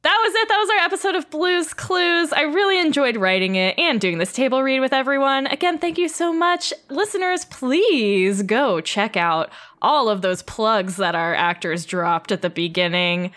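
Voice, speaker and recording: A woman's voice: sits high, lively intonation, moderate pace, hardly any pauses, fairly bright tone. A woman co-hosting a podcast, reading stage directions for a table read and then chatting in a bubbly, enthusiastic way. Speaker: writer and co-host.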